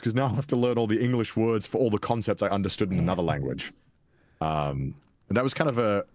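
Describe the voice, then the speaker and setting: A man's voice: fairly low pitch, no echo. A young man from Wales with a Welsh accent, chatting as an interview guest in a self-deprecating way with frequent restarts. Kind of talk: casual interview chat.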